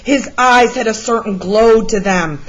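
A woman reading a children's picture book aloud as a storyteller, with deliberate, expressive delivery. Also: fairly high pitch, lo-fi sound. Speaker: read-aloud storyteller, art teacher.

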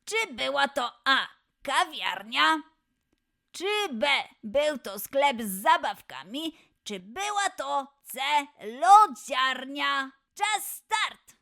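A woman's voice: high voice, sing-song, very slow, vocal fry, sharp tone. A woman presenting a scripted quiz for children in a playful, encouraging, exclamatory way. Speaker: children's quiz show host.

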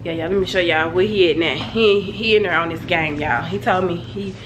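A young woman talks to her viewers through a cold, her voice stuffy and nasal. She rambles on in a chatty, relaxed way, and her low-pitched voice is caught by a phone held at arm's length. The recording carries a clear hiss of background noise from the house. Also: a little fast, dull audio. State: congested with a cold. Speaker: family vlogger. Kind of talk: casual vlog chat to camera. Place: bedroom at home, indoors. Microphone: phone camera held at arm's length. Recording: noisy home phone recording.

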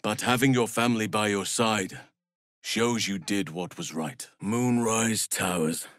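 A low, measured male voice for a solemn elf druid healer in a fantasy game. He speaks earnestly, with formal, careful phrasing. The sound is dry, with no room on it. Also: a bit bright, dry recording. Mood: calm, earnest. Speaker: video game character voice actor.

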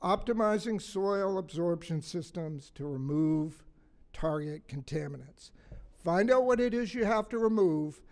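An older man in his sixties with a slightly raspy voice, giving a blunt, folksy conference talk from a podium mic in a hotel meeting room, joking and asking the audience questions. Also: high voice, expressive, unhurried, a little mellow. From Massachusetts, USA. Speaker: conference speaker. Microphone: stand mic on a podium.

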